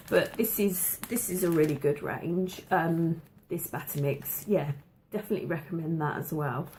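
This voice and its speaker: A woman chatting casually to camera at home, with a slight cough and a dry throat, pausing often with ums and repeating words for emphasis. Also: on the low side, a little mellow, slight background noise, poor audio. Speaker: vlogger showing a food haul.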